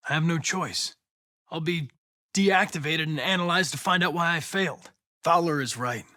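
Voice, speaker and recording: A young american android detective in a video game, polite but determined, pretty high voice, super precise and formal. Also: male voice, moderate pace, pushed and bright. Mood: formal, insistent. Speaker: actor voicing a video game character.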